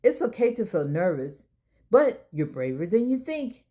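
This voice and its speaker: A woman voicing a gentle, reassuring young mother in a children's storybook, warm and encouraging. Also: medium pitch, big pitch swings, moderate pace, close to an everyday voice. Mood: gentle comfort.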